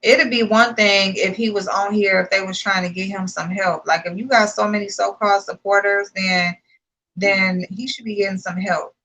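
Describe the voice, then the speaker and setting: Bright, brash woman in a gossip chat. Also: medium pitch, moderate pace, poor audio. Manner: brash, animated, fills with "like" and "listen".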